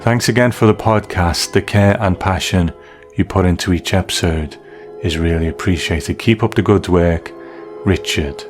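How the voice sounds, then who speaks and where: A man's voice: sits low, normal speed, faint music behind. A middle-aged British man talking in a chatty, conversational way as a podcast host, casually going through listener feedback. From United Kingdom.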